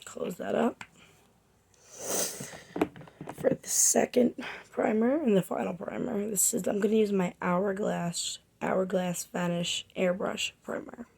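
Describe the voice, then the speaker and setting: A woman in her twenties, a makeup artist hoarse from a cold, chatting casually and rambling to her viewers through a makeup tutorial. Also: mid-range voice, fairly even intonation, a little slow, noisy background. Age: 20s. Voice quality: raspy. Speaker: makeup artist and beauty vlogger. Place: home, under a makeup light.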